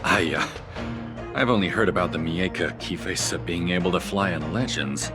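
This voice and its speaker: An actor voicing a skeptical adult man in a game cutscene, who explains legends in an animated way. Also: sits low, moderate pace, lightly acted. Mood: explaining. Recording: game audio with clear music behind.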